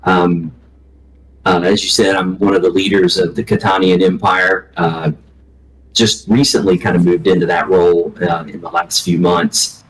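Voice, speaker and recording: A man with a thick Alabama drawl and a deep voice talks easily as a guest. His speech is relaxed and dotted with "uh"s between thoughts. He sounds unhurried and friendly in his phrasing. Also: faint music behind, low-quality recording. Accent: Southern US, Alabama. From Alabama, southern United States. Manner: easygoing, many "uh" fillers. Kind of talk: casual interview chat.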